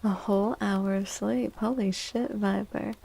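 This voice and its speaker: A woman livestream host chatting in a soft, gentle ASMR style close to the mic, drifting now and then toward a breathy whisper. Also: medium pitch, steady tone, measured pace. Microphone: close microphone.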